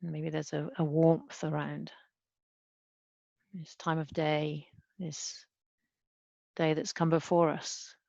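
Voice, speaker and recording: A woman leading a guided body scan meditation as a mindfulness teacher, speaking gently and unhurriedly with long pauses. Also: deep voice, level tone, gravelly, no echo. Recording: dull, muffled lo-fi sound.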